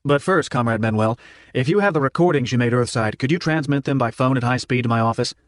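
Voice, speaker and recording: A man's voice: mid-range voice, fast talker, no echo, studio quality, natural voice. A man voicing a businesslike revolutionary leader in an audiobook, courteous and formal in manner. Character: businesslike male revolutionary leader on video link. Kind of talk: audiobook character dialogue.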